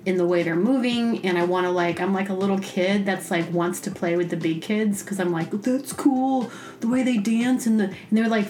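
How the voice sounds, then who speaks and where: Lower-pitched woman chatting casually solo. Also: darkish tone, slight background noise. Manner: playful, informal, frequent "like" and "you know". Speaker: podcast host. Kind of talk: rambling casual solo chat.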